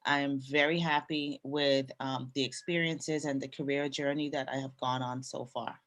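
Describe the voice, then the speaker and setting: Low-voiced woman guest telling her story. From Canada. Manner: relaxed, thoughtful, with fillers and pauses. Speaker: guest, nurse and business owner. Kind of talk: casual interview storytelling.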